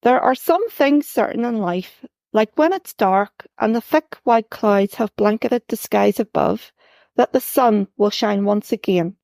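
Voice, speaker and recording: A woman reads her own first-person short story in a measured, gently expressive way. Her voice sits a little high and has a gravelly edge, and the recording is close and dry. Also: no room sound. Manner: measured, gently expressive reading, lifting her voice for quoted dialogue.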